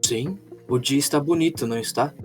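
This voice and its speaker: A man voicing a calm, courteous traveler with formal, measured speech in a fantasy roleplay. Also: medium pitch, close to an everyday voice. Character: calm, courteous male traveler speaking formally to guards and companions. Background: background music.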